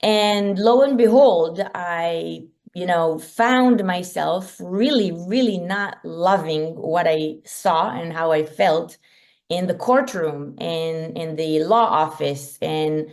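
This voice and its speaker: A woman's voice: fairly low pitch, takes it slowly, darkish tone. A middle-aged woman from Israel with an Israeli accent, a company founder giving an earnest webinar talk about her path, with frequent ums and uhs. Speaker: company founder and guest speaker.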